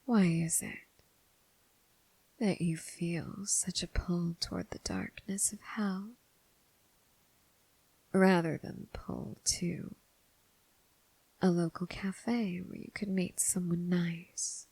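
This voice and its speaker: A woman voicing a bored, mocking female demon in an ASMR roleplay, half-whispering in a languid, condescending way with a husky, creaky voice. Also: lowish voice, steady tone, darkish tone, dry recording, exaggerated voice, poor audio. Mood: probing, condescending. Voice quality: breathy, husky, with creaky fry. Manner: languid, condescending, drawn-out phrasing with sighs.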